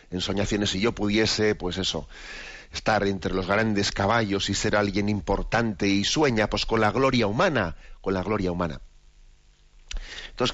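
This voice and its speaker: Older bishop musing in a low voice. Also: male voice, fairly bright tone, no echo. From Spain. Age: 60s. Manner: rambling and reflective, many fillers and restarts. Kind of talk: informal spoken reflection. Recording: dry, dull lo-fi audio.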